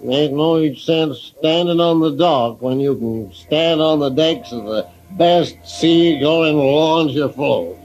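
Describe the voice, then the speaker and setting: A man playing a genial fishing-boat skipper in an old-time radio adventure, with a folksy, unhurried manner. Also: on the high side, darkish tone, soft background music, low-quality recording. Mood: hearty, welcoming.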